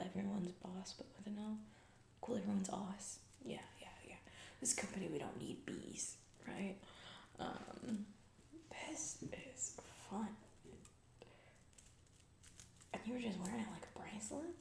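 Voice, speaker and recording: A woman playing a distracted, callous corporate CEO in an ASMR comedy roleplay, in a breathy whisper and soft speech, vague, halting and flippant. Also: medium pitch, echoey room, natural voice.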